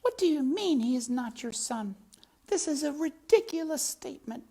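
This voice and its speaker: Haughty mother mouse, storybook read-aloud, very high and shrill, fussy and theatrical. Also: lively intonation, moderate pace, dark tone. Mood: indignant. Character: dramatic female mother mouse with French airs.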